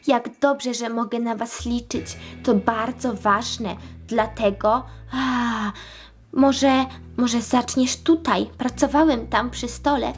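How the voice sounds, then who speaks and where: Casting: kindly old male leader played by a woman, worried, earnest, unhurried, children's storybook. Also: on the high side, steady tone, faint music behind. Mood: relieved, anxious.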